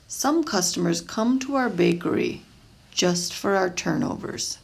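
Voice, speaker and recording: A woman reads a children's storybook aloud with a strongly creaky, fry-edged voice. Her delivery is unhurried and expressive, with pitch that moves up and down freely as she tells it and explains things along the way. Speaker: storybook reader, teacher. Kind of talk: read-aloud of a children's picture book.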